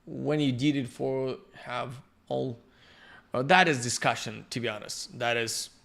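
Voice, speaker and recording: A man with a Ukrainian accent giving a live-streamed software lesson as the instructor, halting and restarting as he searches for words. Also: medium pitch, gravelly. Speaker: workshop instructor.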